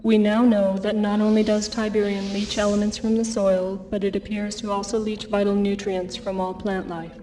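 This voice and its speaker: A woman's voice: level tone, moderate pace, few pauses, warm tone, lightly acted. An actress playing a serious female scientist in a game cutscene, giving warnings in a formal, matter-of-fact way. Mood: clinical warning. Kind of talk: 1990s video game cutscene acting. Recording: distant, noisy low-fidelity video.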